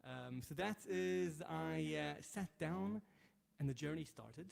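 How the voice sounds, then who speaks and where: A man hosts a live music stream from home, his voice heavy with creak and gravel. He talks in a loose, halting way, restarting sentences and filling pauses. He reads and thanks viewers' comments as he goes. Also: fairly high pitch. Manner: hesitant and rambling, many fillers and restarts; thanks viewers while reading their comments aloud. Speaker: livestream host and guitarist.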